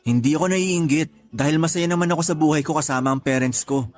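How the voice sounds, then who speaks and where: A man's voice: fairly high pitch, quick pace, faint music behind, lightly acted. An actor playing a stubborn, principled bachelor in his thirties with a Filipino accent, answering defensively in a radio drama. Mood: firm, defensive. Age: 30s. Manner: defensive, terse, irritated replies. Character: stubborn, principled 31-year-old bachelor, homebody son.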